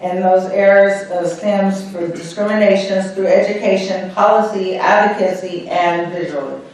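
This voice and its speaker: A woman emceeing an event, reading an introduction haltingly and stumbling over words. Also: mid-range voice, steady tone, darkish tone. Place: event hall. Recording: echoey hall from far off.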